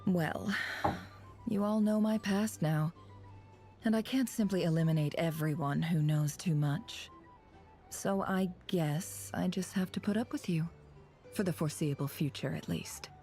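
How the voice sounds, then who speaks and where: Cynical sly game spy lady, lowish soft dark voice, measured n knowing, bit of music. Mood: wry, resigned. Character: sly, guarded, cynical female intelligence operative. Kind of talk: dramatic video game voice acting.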